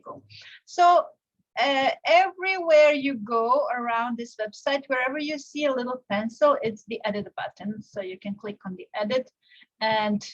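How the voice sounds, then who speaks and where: A young woman from Serbia giving a live online software tutorial, explaining step by step with frequent fillers and restarts. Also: fairly high pitch, lo-fi sound. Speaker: teacher presenting a software tutorial. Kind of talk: live tutorial with screen share.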